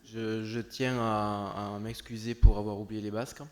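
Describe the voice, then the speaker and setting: A man with a lowish voice calling a vote in a council meeting. He speaks slowly, with hesitant fillers between phrases. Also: flat delivery, darkish tone.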